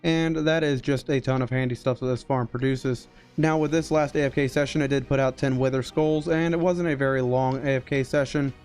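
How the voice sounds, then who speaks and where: A young man narrating a gameplay walkthrough in a steady, explanatory way, his voice a little strained from being sick, speaking into a headset mic. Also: medium pitch, fairly quick, few pauses, faint music behind. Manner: explanatory, steady tutorial delivery. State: recovering from illness, voice strained. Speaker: game streamer.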